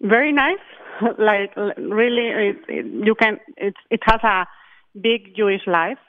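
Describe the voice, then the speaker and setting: A woman from Mexico with a Mexican Spanish accent, chair of a charity group, speaking carefully with halting restarts. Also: mid-range voice. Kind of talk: phone interview. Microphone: phone. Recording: tinny phone line.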